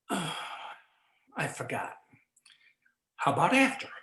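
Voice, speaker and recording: An actor playing a smug, wisecracking 1940s police detective in a campy radio noir play. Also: male voice, on the high side, very animated, very slow, pushed and bright, exaggerated voice. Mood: flirtatious. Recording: roomy live stage sound.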